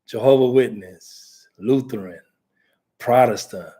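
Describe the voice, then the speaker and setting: A man's voice: mid-range voice, takes it slowly, warm tone. An American man hosting a talk show, talking in a rambling, conversational way with many restarts. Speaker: talk show host, ordained minister. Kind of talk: casual talk show chat.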